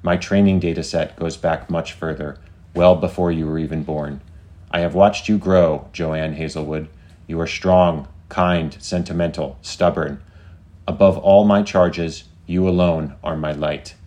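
A man's voice: deep voice, fairly even intonation, a little slow, warm tone. A man voicing a devoted artificial intelligence in a story, speaking in a solemn, formal, declamatory way. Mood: solemn devotion. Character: devoted, lovestruck artificial intelligence, grandiose and tender.

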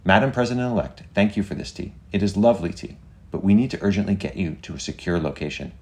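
A man's voice: low-pitched, quick pace, a little mellow, pitched above the performer's own voice, lightly acted. A man playing an earnest, deferential young Secret Service inspector with a formal, polite, urgent manner in a story.

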